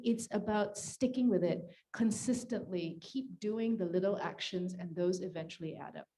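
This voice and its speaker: A woman speaks on a discussion panel in a warm, mellow voice. She tells her story casually, laughs often, and leans on fillers like "you know." Her delivery stays relaxed and conversational. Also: mid-range voice, slight background noise.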